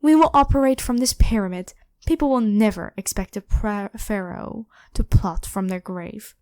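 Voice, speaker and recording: A woman's voice: mid-range voice, moderate pace, darkish tone, clean tone, dry recording, close to an everyday voice. Woman acting a haughty, evil pharaoh queen, dry close sound. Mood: scheming, defiant. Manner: haughty, commanding, theatrically scornful. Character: evil ancient female pharaoh, imperious, demanding, controlling and ruthless. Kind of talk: scripted ASMR roleplay addressed to the listener.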